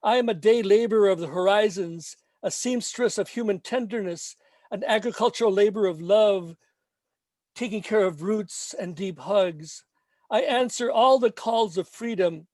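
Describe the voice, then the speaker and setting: High-voiced man reading protest poetry. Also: darkish tone, no room sound. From Mexico. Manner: slow chanting repetition, emphatic. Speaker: poet.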